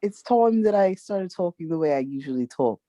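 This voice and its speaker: A woman's voice: lowish voice, expressive, moderate pace, warm tone, no room sound, lo-fi sound. A playful woman co-hosting a podcast, her voice swooping up and down as she jokes around. The sound is dry and close, with no room around it. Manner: playful, laughs often. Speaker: podcast co-host. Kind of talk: casual chat.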